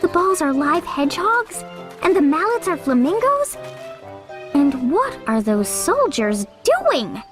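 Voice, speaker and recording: Curious little girl from a storybook, super high swoopy voice, faint music. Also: very animated, slow, exaggerated voice. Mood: amazed. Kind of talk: audiobook character dialogue.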